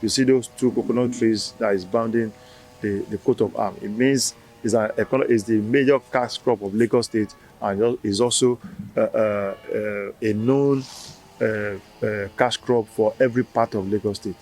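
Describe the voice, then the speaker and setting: Nigerian man, halting, full of fillers. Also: fairly low pitch, steady tone, darkish tone. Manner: hesitant, many fillers and restarts. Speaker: festival founder. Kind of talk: interview answer.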